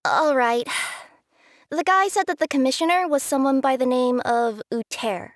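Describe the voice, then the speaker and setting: A woman's voice: sits high, normal speed, no room sound, studio quality, lightly acted. A woman voicing an earnest young cat spirit courier in a video game, apologetic, hesitant and stammering. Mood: reluctant. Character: young female cat yokai courier, earnest, flustered, eager. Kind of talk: video game character acting.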